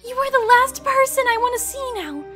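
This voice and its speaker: A woman playing a gentle, lovesick young female pony with wounded feelings in an audio drama, speaking earnestly and emotionally. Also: extremely high pitch, expressive, brisk, faint music behind. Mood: hurt, angry. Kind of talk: fan audio drama acting.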